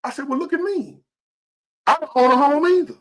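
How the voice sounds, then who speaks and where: A man in his fifties giving earnest, preachy motivational talk to his viewers, repeating key phrases and swinging between loud and hushed. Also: very high voice, fairly quick. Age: 50s. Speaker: motivational vlogger.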